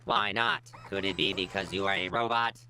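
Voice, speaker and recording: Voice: deep voice, sing-song, moderate pace, gravelly, heavy background noise, full character voice. A performer voicing an earnest, grandiose little filly in a cartoon parody, speaking in a stilted, overly formal way. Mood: defiant. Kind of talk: animated parody voice acting. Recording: loud music behind the voice.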